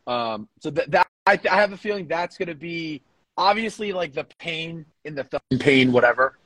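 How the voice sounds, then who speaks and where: Higher-voiced American man, casual and sweary. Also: lively intonation, moderate pace, fairly bright tone, no room sound, low-quality recording. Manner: bantering, profane. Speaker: podcast host. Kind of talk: casual powerlifting chat.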